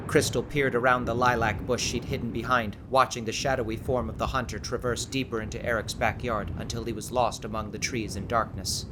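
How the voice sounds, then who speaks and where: A man's voice: mid-range voice, fairly even intonation, fairly quick, hardly any pauses, noisy background. A man reading an audiobook as dramatic scripted narration.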